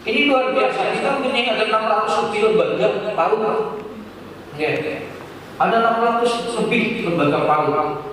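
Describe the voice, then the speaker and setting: A man's voice: high voice, lively intonation, normal speed. A man giving a public speech as an official, often repeating phrases for emphasis. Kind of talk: public speech at a book launch. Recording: very echoey hall, distant mic, faint noise.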